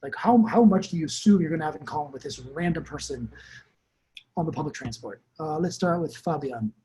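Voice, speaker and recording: Man, thirties, rapid chatty delivery, slightly husky voice, video call. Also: on the high side, expressive, mellow, rough recording. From Israel. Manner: fast, chatty, frequent fillers and restarts. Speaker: tech speaker leading a webinar. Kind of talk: casual presentation with questions to attendees.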